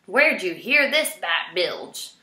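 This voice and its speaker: Lady doing a grumpy old man porcupine from a kids book, blunt n exasperated, bright forceful voice, bit roomy. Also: fairly low pitch, big pitch swings, takes it slowly, exaggerated voice. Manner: bellowing, grumbling, indignant. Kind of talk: children's storybook character voice.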